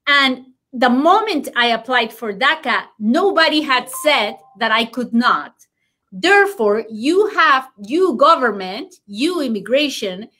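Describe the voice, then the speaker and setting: A woman's voice: fairly high pitch, a bit bright. A woman immigration lawyer, a native Spanish speaker with a Spanish accent, explaining legal news in an interview emphatically and reassuringly, repeating key points.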